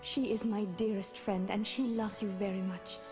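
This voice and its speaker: A woman voicing a swan who was once a noblewoman and is a loving, exiled mother in a video game, speaking in solemn, measured, formal phrases. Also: mid-range voice, fairly even intonation, music in the background, lightly acted. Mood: grave, concerned. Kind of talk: scripted video game voice acting.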